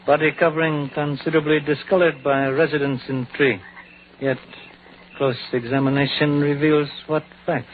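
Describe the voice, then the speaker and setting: A man playing a calm, methodical middle-aged Chinese detective in an old radio drama, speaking in measured, clipped sentences that drop small words. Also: on the high side, close to an everyday voice. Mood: measured, observant. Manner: measured, clipped speech dropping articles and pronouns. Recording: tinny, hissy vintage radio recording.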